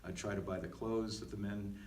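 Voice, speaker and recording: Middle aged guy on a podcast, lowish voice, kinda halting, far from the mic in a roomy space. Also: fairly even intonation, darkish tone. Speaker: podcast co-host. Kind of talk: casual reflective podcast conversation. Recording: echoey, distant mic with faint noise.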